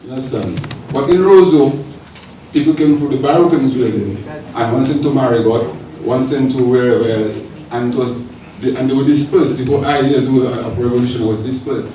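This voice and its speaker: A man with a Dominican accent speaking off the cuff from the audience at a public lecture, animated and repeating phrases for emphasis. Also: medium pitch, moderate pace. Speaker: audience member, local communicator and radio presenter. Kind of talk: impromptu comment and question at a public lecture. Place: echoing lecture hall, public event. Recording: echoey hall, distant mic, clear background noise.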